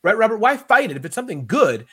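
A high-pitched man talks with big, lively swoops in his voice. He is energetic and loud, projecting like he is filling a room. He keeps the chat playful with jokey asides. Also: fast, crowd noise. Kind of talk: casual conversation.

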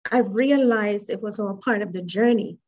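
A woman from Trinidad and Tobago, a guest telling her life story in an interview, reflective and hesitant, over muffled call audio. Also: medium pitch. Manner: reflective, unhurried, with soft laughs and hesitations.

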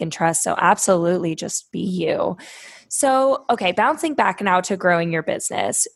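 A woman's voice: lowish voice, dry recording, studio quality. A woman hosting a podcast, affirming her guest's answers and asking follow-up questions. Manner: affirming, encouraging. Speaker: podcast host.

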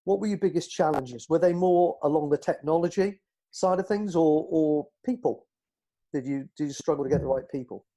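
A man's voice: fairly high pitch, moderate pace, mellow, poor audio. A man hosting an interview, asking questions and encouraging with frequent backchannels. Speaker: interviewer host. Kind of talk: casual interview.

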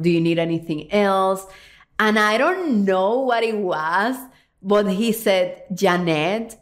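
A middle-aged woman from Cuba with a Cuban Spanish accent, a native Spanish speaker, hosting a solo podcast as a confidence coach, earnest and animated, slowing down to stress points and hurrying through asides. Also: mid-range voice. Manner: earnest, animated, slows for emphasis and speeds up in asides.

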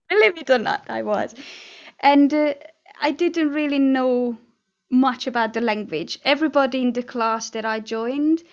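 A woman from Hungary, a guest telling her story in a casual interview, earnest and dotted with fillers and soft laughs. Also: high-pitched, a little slow, pauses often, dull audio. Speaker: guest, NLP trainer and hypnotherapist.

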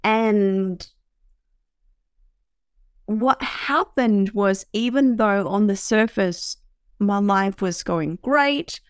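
A woman's voice: mid-range voice, extremely slow, pauses often, smooth, no echo, studio quality. A woman from Vietnam, hosting a podcast, talking reflectively about her own life in a searching way with fillers and restarts. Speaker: podcast host. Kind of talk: reflective personal talk.

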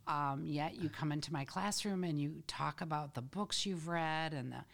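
A woman's voice: deep voice, normal speed, vocal fry. An older woman, a veteran teacher, speaking as a guest in a casual podcast interview, reflective and playful.

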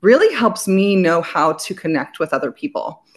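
Woman from belgium, guest on a business chat, low voice, earnest and reflective. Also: very animated, moderate pace. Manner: reflective, earnest, speaks in long flowing sentences. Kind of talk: conversational interview.

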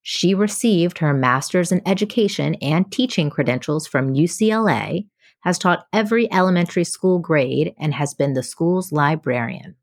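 Woman podcast host, slightly low, dry sound. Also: lively intonation, moderate pace, dry recording. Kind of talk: scripted podcast intro and interviewing.

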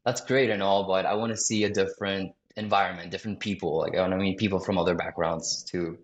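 A man's voice: low voice, fairly even intonation, quick pace, reverberant. A young man from South Florida, a sales director, chatting casually as a podcast guest and rambling with lots of fillers and restarts. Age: young adult. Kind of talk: casual interview talk.

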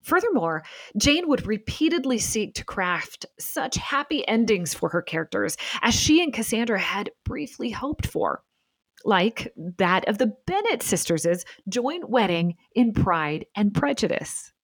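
A woman presents as a podcast host, moving through a mid-range pitch with lots of melodic variation. The sound is dry and close, with very little room. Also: very animated, measured pace, no room sound. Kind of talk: scripted narration and interview talk.

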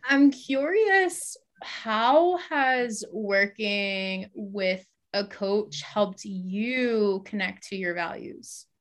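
A woman with a mid-pitched voice hosts a casual interview podcast. She responds to her guest in an effusive, appreciative manner and puts her questions conversationally. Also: very slow.

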